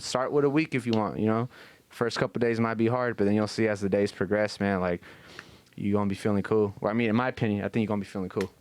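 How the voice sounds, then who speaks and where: Low, smooth-voiced man chatting in casual slang. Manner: slangy, profane, frequent "you know what I mean". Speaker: podcast co-host. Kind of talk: casual podcast chat.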